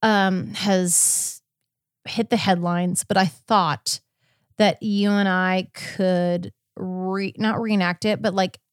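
A woman's voice: medium pitch, steady tone, slow, clear, resonant tone, dry recording, studio quality. A woman co-hosting a podcast in casual, conversational chat. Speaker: podcast co-host.

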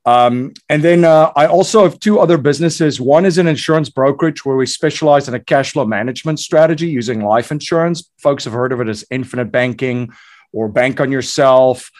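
South African-born man in his 40s, mid-pitched, energetic storyteller with restarts, podcast guest. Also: brisk. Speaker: guest, investor and entrepreneur. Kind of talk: podcast interview.

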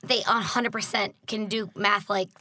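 A young woman in her twenties telling stories in a relaxed casual chat, laughing often. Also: medium pitch, a little slow, slight background noise. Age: 20s. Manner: easygoing storyteller, laughs often. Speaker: guest.

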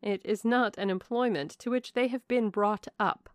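A woman voicing a composed, good-humoured genteel mother in an audiobook of a classic novel, polite and measured. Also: mid-range voice, no echo, studio quality, lightly acted.

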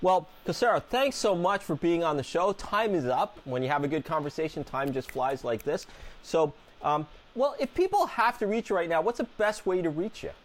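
A man's voice: on the high side, expressive, moderate pace. A man hosting a radio interview show, an enthusiastic and chatty veterinarian who has a cold.

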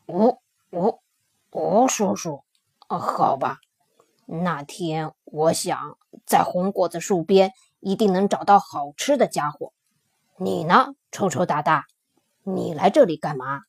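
A big gruff male tyrannosaurus, voiced by a woman for a children's storybook. The voice is low, husky and slow, with a dry close sound. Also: lively intonation, dry recording, heavily acted.

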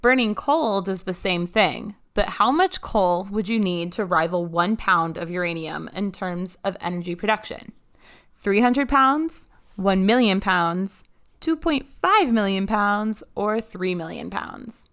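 A young woman hosting a podcast, chatting and teasing in a wry, brisk way and reading quiz questions aloud. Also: medium pitch, lively intonation, a bit bright. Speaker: podcast host.

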